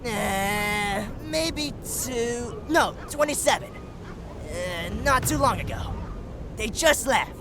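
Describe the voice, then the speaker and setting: Brief: lowly storybook goblin, very high voice, slow-witted, unsure, colloquial, crowded ambience behind. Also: male voice, animated, crowd noise, full character voice. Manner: uncertain, colloquial. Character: dim, hesitant, lowly goblin soldier.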